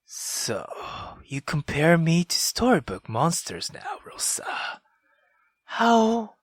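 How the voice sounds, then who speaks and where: Woman doing a slow creepy immortal guy from a visual novel, mocking n bitter, voice swings low to whispery, music behind. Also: fairly bright tone, slightly rough, dry recording, heavily acted. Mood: cold, quiet menace. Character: sinister male immortal who feeds on love, mockingly calm, bitter. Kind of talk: dramatic visual novel read-aloud.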